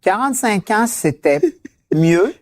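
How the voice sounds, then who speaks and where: A woman in her late forties with a Québécois accent, a love-life coach chatting in an upbeat, relaxed way. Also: medium pitch, slow pace, no room sound, studio quality. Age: 40s. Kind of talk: casual chat.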